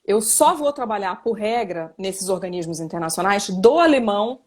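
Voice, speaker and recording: A woman's voice: medium pitch, animated, normal speed. A Brazilian woman who works as a simultaneous interpreter and journalist, explaining her work in a casual live interview with examples and self-corrections. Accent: Brazilian Portuguese. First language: Portuguese. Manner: reflective, explains with examples and restarts.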